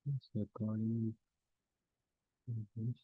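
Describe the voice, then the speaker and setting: A man teaching a live online software lesson informally, haltingly thinking aloud as he demonstrates. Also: lowish voice, level tone, breathy edge. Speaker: instructor. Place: online class call with screen sharing. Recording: roomy, dull lo-fi online-call audio.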